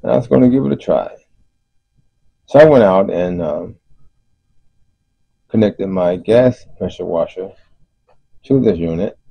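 A man giving an unscripted explanation as host of a DIY video, speaking haltingly with frequent pauses. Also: low-pitched, animated, creaky, slightly rough. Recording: muffled, roomy voice-over recording.

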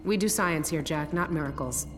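An actress playing a blunt, no-nonsense scientist in a video game, brisk and matter-of-fact. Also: female voice, sits low, natural voice. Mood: skeptical. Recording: long reverb under music.

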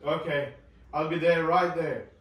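A man's voice: a little high, steady tone, a little slow. A man chatting casually as he plays along with a child, asking simple prompting questions, recorded across a living room. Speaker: parent hosting a family toy video. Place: large living room with hard wooden floor. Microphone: camera several metres away. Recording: echoey room, distant mic, background noise.

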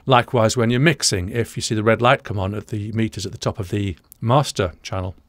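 A man's voice: on the low side, steady tone, a little fast, a bit bright, no echo. Dry-sounding older British man explaining audio. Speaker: audio engineering presenter. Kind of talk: explanatory reaction commentary to camera. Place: small indoor studio room, dry.

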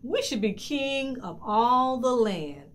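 Woman reading as a pushy greedy storybook wife, loud, lively and bossy. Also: fairly high pitch, slow, pitched above the performer's own voice. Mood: demanding. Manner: bossy, demanding. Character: greedy, domineering fisherman's wife.

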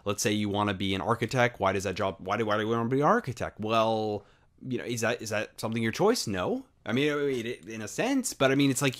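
A man's voice: medium pitch, a bit bright. A young American man talking alone in a casual, rambling way, full of fillers, rhetorical questions and casual swearing. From United States. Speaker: podcast host. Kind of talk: unscripted solo monologue.

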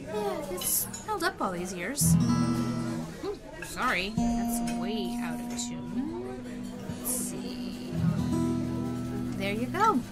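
Female cafe worker, acted, audio drama: natural, easygoing, friendly, slightly high pitch, very expressive intonation. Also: extremely slow, long pauses, loud music behind the voice, exaggerated voice. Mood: friendly, casual. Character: Leah, friendly, easygoing woman working at a cafe.

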